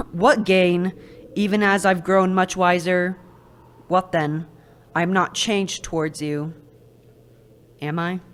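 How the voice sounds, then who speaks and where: A woman's voice: lowish voice, fairly even intonation, takes it slowly, long pauses, slight background noise. A woman playing the young Scrooge in a live radio play, cool and dismissive. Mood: defensive.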